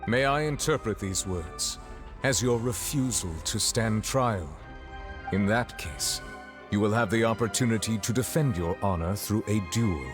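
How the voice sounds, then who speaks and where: A stern court judge, acted by a man speaks in a lowish, measured voice with formal gravity. Music sits clearly behind this game performance. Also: close to an everyday voice. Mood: stern, calm. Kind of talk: scripted video game acting.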